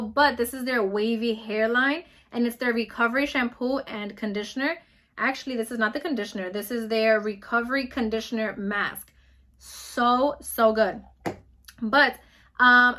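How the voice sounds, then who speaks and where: Fast-talking New York woman in her twenties. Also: fairly high pitch, bright, forceful tone. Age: 20s. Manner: fast-talking, bubbly and emphatic. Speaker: beauty vlogger. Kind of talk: casual product review vlog.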